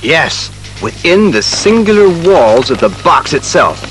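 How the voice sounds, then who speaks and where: A man playing an authoritative, easily exasperated police commissioner, brisk and official, in a superhero audio drama. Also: a little high, very animated, a bit bright, soft background music, rough recording. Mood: thoughtful. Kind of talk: superhero radio drama acting.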